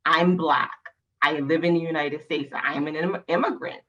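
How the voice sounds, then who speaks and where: A passionate woman from Barbados speaks on a panel over a video call. Her voice sits very low but rings bright and forceful, and she drives her points home with emphatic tag questions. The call audio sounds dull and lo-fi. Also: steady tone, moderate pace. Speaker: panelist, nonprofit leader.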